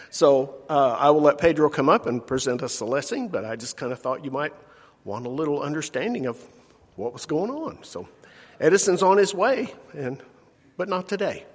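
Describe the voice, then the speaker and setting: A man with a somewhat high voice gives relaxed church announcements. He is easygoing, with many "uh"s and little chuckles. A long hall reverb trails behind his words. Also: normal speed, slightly rough. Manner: relaxed, chuckling, hesitant fillers. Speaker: church leader making announcements. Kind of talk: casual announcements. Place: church sanctuary.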